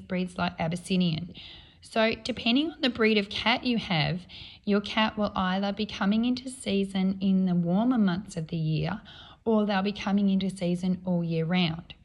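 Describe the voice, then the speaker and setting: A woman, croaky from a cold, hosting a podcast and explaining things in a chatty, off-the-cuff way with frequent ums. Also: mid-range voice, a little mellow. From Australia. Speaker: podcast host and cat breeder.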